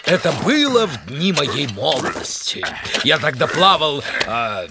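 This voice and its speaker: A worldly old cartoon crow. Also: male voice, on the high side, sing-song, unhurried, heavy background noise. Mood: reassuring. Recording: studio dub with loud music.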